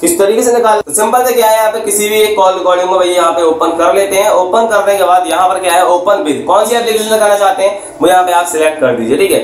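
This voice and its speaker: A young man explains things step by step in a noticeably high voice. He talks informally and repeats himself, punctuating his steps with little check-in tags. The room is clearly echoey, with a faint layer of noise behind him. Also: quick pace, few pauses. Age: 20s. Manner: repetitive, with frequent check-in tags. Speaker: tech tutorial YouTuber. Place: small curtained room indoors.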